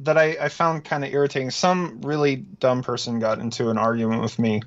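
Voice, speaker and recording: A man's voice: medium pitch, fairly even intonation, brisk. A man giving casual political commentary in an explanatory, emphatic way, heard over a dull, lo-fi connection. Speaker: talk show participant discussing politics.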